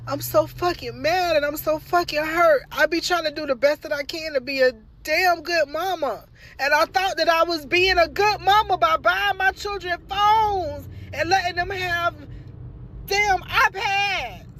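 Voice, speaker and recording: A tearful, distraught mother talks in a very high voice, her words slow and dragged out. She swears constantly between sobs. Road and engine noise from the car fills the background. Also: a bit bright. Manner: crying, distraught, swearing heavily. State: crying. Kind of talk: emotional vlog monologue.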